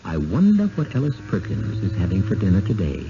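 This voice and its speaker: A man with an American accent hosting and narrating a scripted radio mystery in a measured, theatrical manner. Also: sits low, huge pitch swings, background noise. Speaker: host and narrator. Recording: muffled vintage radio broadcast. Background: music.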